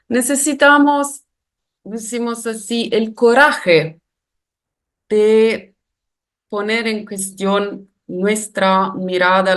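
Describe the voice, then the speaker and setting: A woman with an Italian accent giving a careful, reflective webinar lecture in a non-native language, with frequent hesitations. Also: on the low side. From Italy. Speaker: guest lecturer.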